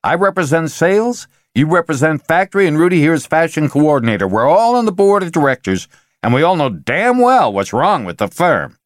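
A man voicing a loud, pushy middle-aged sales executive who rants and lets his voice climb into shouts. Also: on the high side, sing-song, a little fast, dry recording, studio quality, pitched above the performer's own voice. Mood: insistent. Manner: forceful, ranting, voice rising to shouts.

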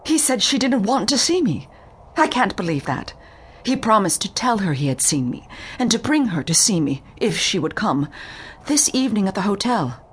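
Anxious young female client, refined, timid, halting, pleading, slightly husky, detective audio drama. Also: medium pitch, big pitch swings. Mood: distressed, pleading.

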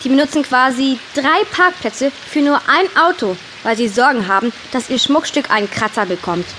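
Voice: sits high, moderate pace, sharp tone, a little hiss or hum. A performer playing a cheeky, sarcastic country girl in a children's audio drama, with a wry delivery. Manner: wry, mock-formal at times.

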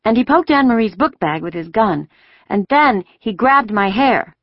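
A stubborn little girl of five from a storybook, put on by a narrator. The voice jumps high and loud, chattering with boastful self-importance. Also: expressive, moderate pace, no room sound, muffled recording, lightly acted.

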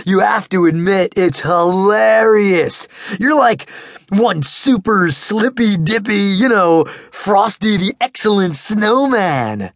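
A man voicing a huge, rowdy teenage boy who talks loud and jokes. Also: high voice, lively intonation, slow pace, no echo, clean studio sound, voice pushed up, exaggerated voice. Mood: teasing. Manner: loud, blasting, joking.

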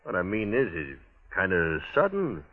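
An actor playing a boastful, easygoing gunslinger with a Western American drawl and a folksy, cocky manner, in radio western style. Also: male voice, low-pitched, wildly swooping pitch, moderate pace, exaggerated voice. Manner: folksy, chuckling, cocky talk. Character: boastful, easygoing drifting gunslinger with restless menace. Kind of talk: 1950s radio western acting. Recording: tinny vintage 1950s radio recording.

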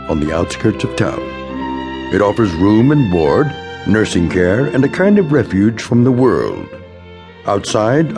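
A man narrating a radio drama in a measured, ominous storyteller's manner. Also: lowish voice. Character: ominous, all-knowing male narrator.

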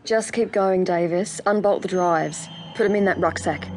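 An actress playing a wry, tough army corporal in an audio drama, with a dry, terse, deadpan delivery. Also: female voice, lowish voice, normal speed, background noise, lightly acted. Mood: cold, commanding.

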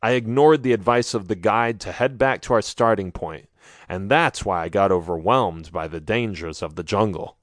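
A man's voice: on the low side, moderate pace, no echo, lightly acted. A man voicing a polite, slightly hesitant young male archaeologist in close to his own voice while reading a story. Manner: plain, polite, a bit hesitant.

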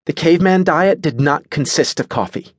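A man's voice: on the high side, normal speed, no echo, studio quality, voice pushed up, close to an everyday voice. A man voicing a self-important, easily offended young woman in an audiobook story, haughty and indignant.

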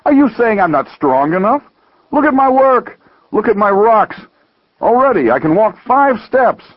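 A man's voice: mid-range voice, expressive, brisk, breathy edge, no room sound, highs cut off, pitched above the performer's own voice. A man voicing a proud, self-righteous younger brother in a dramatized parable, with an emphatic, declaiming manner. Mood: incredulous, boastful.

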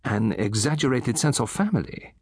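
A man's voice: medium pitch, big pitch swings, moderate pace, husky, no room sound, studio quality. A man voicing a fussy, self-important older Belgian detective, speaking in formal, precise phrases. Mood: probing. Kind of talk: audiobook character voice.

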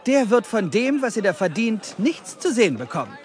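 An actor playing a shrewd, courteous, genteel merchant who recruits men in an audio drama. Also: male voice, high-pitched, very animated, normal speed. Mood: smooth, insinuating. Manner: courteous, persuasive, self-assured. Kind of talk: German audio drama acting.

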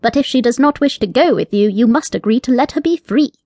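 A woman voicing a prim, fussy male rabbit with a formal, haughty manner, pitched well above her own voice. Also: expressive, brisk, a little mellow, no room sound.

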